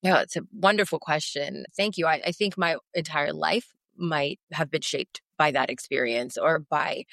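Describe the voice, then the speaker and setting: Low-voiced woman, thoughtful interview guest. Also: normal speed, creaky, hardly any pauses, a bit bright, no echo. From Afghanistan. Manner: reflective, thoughtful. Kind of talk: interview answers.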